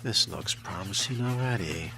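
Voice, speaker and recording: A man doing in-character game commentary with a dry, wordy, sardonic manner, often dropping into a breathy murmur. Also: lowish voice, normal speed, bright, forceful tone. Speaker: role-playing let's player. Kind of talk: in-character game commentary, musing aloud and reading text. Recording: game music behind. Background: video game music.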